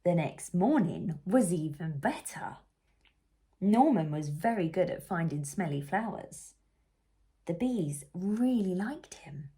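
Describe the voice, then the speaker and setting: Woman reading a kids book out loud, really expressive and unhurried, mellow voice. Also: on the low side. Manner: animated, unhurried, asks the listener questions. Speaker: children's book reader. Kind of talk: expressive storybook read-aloud for young children.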